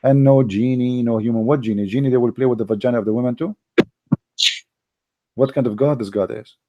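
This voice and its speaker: A man's voice: medium pitch, fairly quick, no room sound, lo-fi sound. A man whose first language is Arabic, hosting an online debate with a caller. He talks insistently, repeats his words and fires off rhetorical questions. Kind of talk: argumentative call-in debate.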